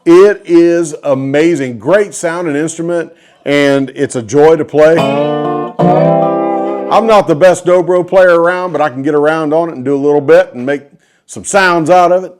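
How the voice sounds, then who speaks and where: Folksy American man, higher-pitched voice. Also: moderate pace, a little mellow, soft background music. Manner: folksy, enthusiastic. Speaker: host of a guitar storytelling video series. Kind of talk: casual storytelling to viewers.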